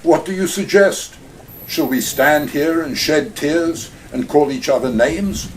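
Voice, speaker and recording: A man voicing Gutman, a suave and greedy villain with a smooth, pompous manner, in a community radio play. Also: a little high, measured pace, distant mic, a little hiss or hum, close to an everyday voice, low-quality recording.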